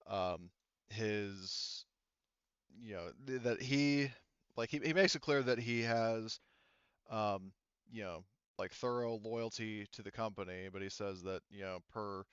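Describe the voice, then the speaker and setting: Low-voiced man running a tabletop game, dull audio. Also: clear, resonant tone, no room sound. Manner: halting, rambling explanations, casual swearing. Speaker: game master. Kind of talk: casual tabletop game narration.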